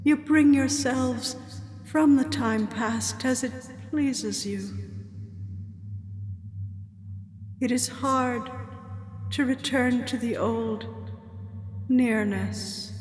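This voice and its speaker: An actress playing a sorrowful dead woman returned as a prophetic spirit, speaking solemn verse in a slow, grave incantation in a radio drama. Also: on the high side, steady tone, a little mellow, slight background noise. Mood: sorrowful, weary. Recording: long cavernous reverb with music.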